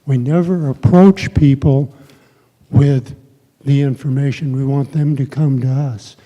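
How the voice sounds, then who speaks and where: An older man addresses a meeting slowly and deliberately, one phrase at a time. His voice is very soft and mellow, heard through dull sound in a reverberant hall. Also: medium pitch, steady tone. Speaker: activist addressing a community meeting. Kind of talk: spontaneous public appeal. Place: large synagogue hall, indoors. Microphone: handheld microphone.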